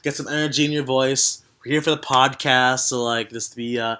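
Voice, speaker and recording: A man's voice: mid-range voice, fairly even intonation, normal speed, sharp tone, clear, resonant tone. A young man hosting a casual podcast, joking, teasing his co-host and rambling between topics. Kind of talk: casual chat.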